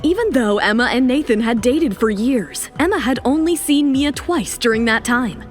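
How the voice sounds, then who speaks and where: A woman narrates an audio drama in a smooth, even storytelling delivery. Her voice sits a little high, and quiet music plays beneath her. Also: moderate pace.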